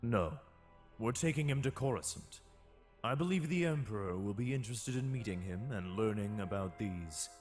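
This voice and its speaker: A man's voice: on the low side, animated, normal speed, lightly acted. A man playing an authoritative space-navy captain in an audio comic drama, giving clipped, official, commanding delivery. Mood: businesslike. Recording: faint music behind the voice.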